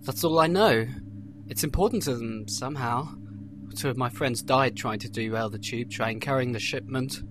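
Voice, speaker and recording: A man's voice: medium pitch, moderate pace, background noise, lightly acted. A man playing a wry, cocky human rebel in a fan audio drama. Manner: wry, lively, incredulous.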